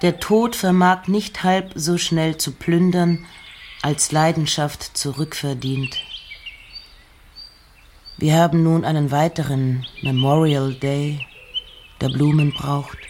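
A woman giving a measured, deliberate literary reading of poems and letters, with pauses. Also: low-pitched, steady tone, darkish tone, slight background noise. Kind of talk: literary recitation.

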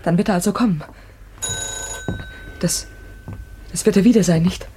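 An actress playing an anxious, earnest daughter in a German crime radio play, hesitant and pleading. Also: female voice, lowish voice, a little slow, background noise, close to an everyday voice. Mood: uneasy. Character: anxious, pleading young daughter of troubled family.

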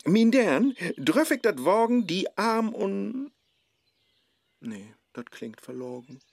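An actor playing a hapless, lovestruck Faust in a comic rhymed radio play, with a Low German accent and theatrical asides. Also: male voice, very animated, slow, creaky, no echo, studio quality, full character voice. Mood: smitten, wooing. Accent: Low German, northern Germany. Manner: theatrical, exclaiming, muttering asides. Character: lovestruck, hapless modern Faust chasing a young woman.

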